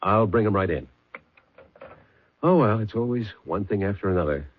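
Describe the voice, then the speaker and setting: A man playing a calm, dogged police detective in a 1970s radio drama, talking mildly and hesitantly with many pauses. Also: on the low side. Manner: mild, hesitant, with many uh's. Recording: phone-like old radio broadcast.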